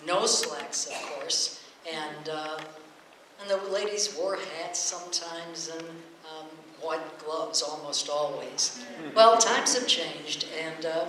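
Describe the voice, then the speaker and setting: A man introduces a show from the stage in a casual, storytelling way, pausing now and then to search for words. His voice is bright and fairly high, ringing through a very reverberant hall with faint noise. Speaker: host introducing a live radio play show. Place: stage before a live audience.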